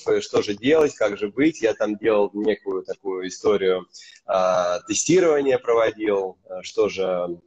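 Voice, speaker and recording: A man's voice: on the low side, darkish tone, husky. A man in his thirties, a gynecologist guest on a video call, speaking earnestly with some hesitations. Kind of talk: casual video call chat. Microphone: video call webcam. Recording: dull lo-fi webcam audio.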